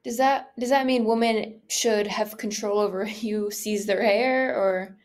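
A woman's voice: medium pitch, fairly even intonation, measured pace, darkish tone. A young adult woman co-hosting a casual question-and-answer chat, inquisitive and a little tentative as she asks. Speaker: co-host asking questions.

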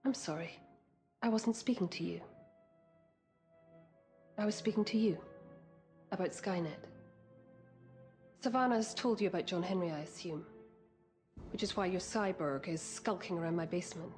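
A woman's voice: on the low side, moderate pace, pauses often, a little mellow, natural voice. Woman acting cool imperious executive over music. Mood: cool, commanding. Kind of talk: TV drama acting. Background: dramatic music.

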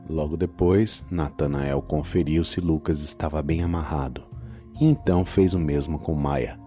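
A man's voice: a bass voice, steady tone, normal speed, mellow, faint music behind. A man with a Brazilian accent narrating a scripted horror story.

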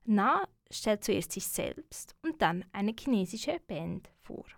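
A woman presenting a community radio feature in a clear, measured way. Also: medium pitch, big pitch swings, dry recording, studio quality. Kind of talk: scripted radio narration.

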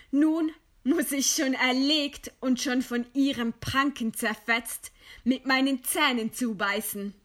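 A woman's voice: high-pitched, fairly bright tone. An actress playing a proud, scornful woman intellectual defying her executioner in eloquent, theatrical radio play declamation. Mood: bitter, mocking.

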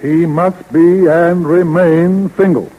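An actor playing a fussy, formal lawyer in a 1930s radio comedy, speaking deliberately and precisely. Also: male voice, fairly high pitch, fairly even intonation, phone-quality sound. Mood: solemn, stern. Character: fussy, formal male lawyer reading out a will. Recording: 1930s radio recording, long reverb.

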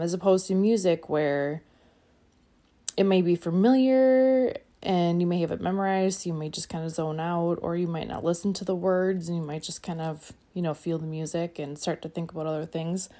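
Calm, low-key woman musing into her phone. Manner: calm, even-keeled, trails off. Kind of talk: reflective personal monologue.

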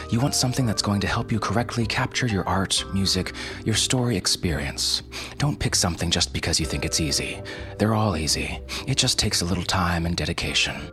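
A man hosting a podcast, narrating scripted instructional material in a casual, irreverent way. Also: deep voice. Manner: casual, irreverent, mild swearing. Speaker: podcast host.

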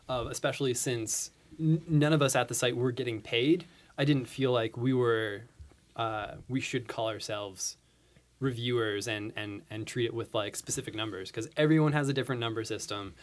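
A man talking casually as a podcast guest, halting and repeating words as he starts his sentences. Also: mid-range voice. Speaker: podcast guest, comics blogger. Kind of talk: casual conversation.

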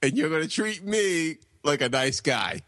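A man's voice: fairly high pitch, expressive, unhurried, fairly bright tone, dry recording. A man voicing a boorish, hard-drinking grown male bully with a brash, swaggering drawl in a podcast comedy bit. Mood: grumpy.

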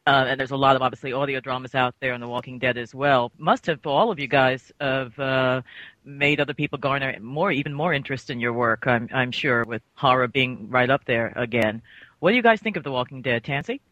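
A man's voice: mid-range voice, a little fast, sharp tone, no echo, muffled recording. A man casually putting questions to a discussion panel.

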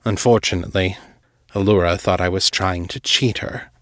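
A man playing a deep-voiced, urbane older vampire lord. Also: steady tone, a bit bright, dry recording, clean studio sound. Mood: grave, measured. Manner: formal, unhurried, smoothly chiding. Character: powerful vampire lord in his fifties, urbane, condescending.

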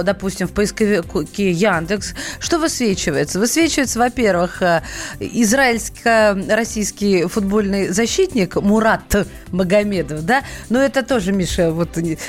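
A middle-aged woman co-hosting a radio talk show in a studio, teasing and chatting with her guest. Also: mid-range voice. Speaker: radio co-host.

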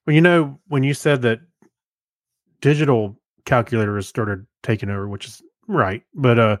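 A man in his fifties chats informally as a podcast co-host, in the loose style of someone swapping memories. His pitch is ordinary for a man, and the recording is dry with almost no echo. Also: normal speed. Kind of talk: casual chat, reminiscing.

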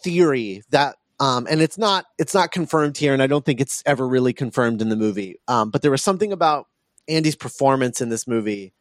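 A man's voice: mid-range voice, animated, fairly quick, dry recording, clean studio sound. A man hosting a podcast, talking casually about film and thinking aloud with frequent hesitations and repeats. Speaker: podcast host.

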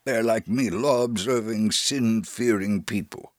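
Man reading as a weary, low-voiced very old man. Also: a little slow, dry recording, studio quality, close to an everyday voice. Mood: resigned. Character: weary, hopeless ninety-four-year-old religious man.

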